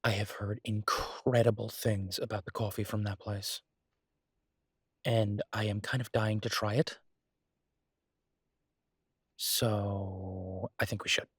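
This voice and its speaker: A man playing a devoted, easygoing chef and reassuring partner in an intimate audio roleplay, speaking softly and haltingly with a husky voice. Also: lowish voice, level tone, no room sound, studio quality. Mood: light, coaxing.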